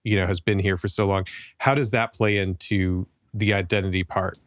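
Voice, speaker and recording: A man hosting a podcast interview, speaking in a relaxed, conversational way from the United States, close to the mic. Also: low-pitched, moderate pace, no room sound. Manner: relaxed, conversational, a few restarts. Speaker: podcast host. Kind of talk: casual interview.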